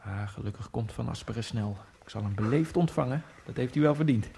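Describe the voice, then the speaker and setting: A man playing a gravelly, brooding lonely skater. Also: mid-range voice, brisk, noisy background, lightly acted. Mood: wry musing. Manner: wry, brooding inner monologue. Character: lonely immigrant man, obsessive speed skater. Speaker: first-person narrator of a radio play. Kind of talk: radio drama monologue.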